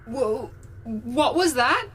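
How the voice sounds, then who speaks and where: A woman playing a chatty, excitable, joking starship crew member in an audio comedy, exclamatory and gossipy. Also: fairly high pitch, very slow, slight background noise. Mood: nervous, excited. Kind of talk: audio comedy drama acting.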